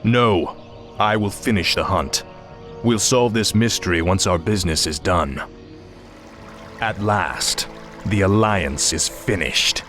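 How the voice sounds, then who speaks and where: Casting: commanding, grim male monarch for fantasy game, very low voice, determined. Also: takes it slowly, pauses often, a bit bright, soft background music. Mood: grim resolve. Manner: terse, stern. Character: grim, weakened dark king, commanding and determined.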